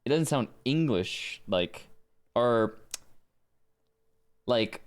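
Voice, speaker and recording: A man's voice: medium pitch, expressive, very slow. Casual male streamer talking. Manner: repeats phrases aloud to study accents. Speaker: streamer and voice actor. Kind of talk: casual live stream commentary.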